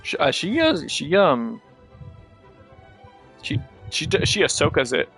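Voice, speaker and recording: Male streamer, casual gameplay talk, fry in the voice, halting delivery, soft game music behind. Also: fairly high pitch, huge pitch swings. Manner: halting, self-correcting explanations. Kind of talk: casual gameplay commentary and chat. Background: video game music.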